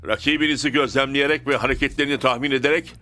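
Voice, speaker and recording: Man playing a bright-voiced, stern cartoon coach. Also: mid-range voice, steady tone, racing, close to an everyday voice. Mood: instructive. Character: stern, commanding adult male football coach. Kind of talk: dubbed cartoon acting.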